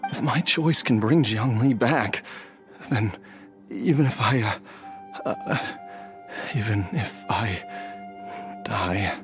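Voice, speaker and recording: A man voicing a gentle, resigned middle-aged husband and father near death in a game, speaking softly and haltingly. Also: fairly low pitch, music in the background. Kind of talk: game voice acting.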